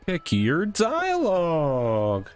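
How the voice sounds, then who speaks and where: A man's voice: on the high side, huge pitch swings, extremely slow, clean tone, faint music behind. A man casually reading game text aloud and commenting as he plays, as the host of a gaming video.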